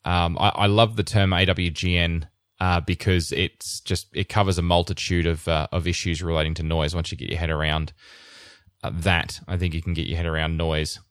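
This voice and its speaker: A man with a deep, low voice hosts a casual technical podcast. He rambles, restarts his sentences and laughs often. The sound is dry and close, with no room in it. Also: steady tone, normal speed, a bit bright, dry recording. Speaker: podcast host.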